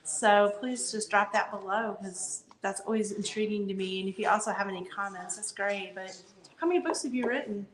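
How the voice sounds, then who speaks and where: A woman's voice: medium pitch, brisk. A woman from the Midwest hosting a live interview, friendly and curious, asking lots of questions. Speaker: show host. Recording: echoey room with crowd noise behind.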